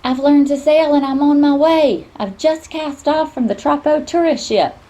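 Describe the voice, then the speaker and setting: A woman's voice: high voice, normal speed, dark tone, pitched above the performer's own voice, natural voice. A woman voicing an eager, determined novice woman sailor from a storybook, speaking earnestly.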